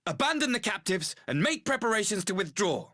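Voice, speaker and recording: A commanding imperial envoy from a game drama. The voice is bright and cutting, with very wide swings of pitch, stern and formal in its clipped orders. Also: male voice, very animated, a little fast, heavily acted. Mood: cold authority. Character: imperious male imperial ambassador, cold, commanding. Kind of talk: dramatic video game acting.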